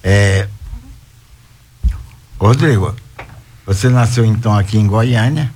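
A man's voice: lowish voice, slow, a little mellow, a little hiss or hum. A man hosting a talk show and interviewing guests with brief, plain questions.

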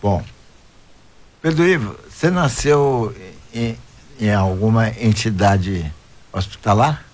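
Slow-talking radio host, man. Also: lowish voice. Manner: slow, warm greetings to listeners, hesitant fillers. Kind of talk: radio talk show interview.

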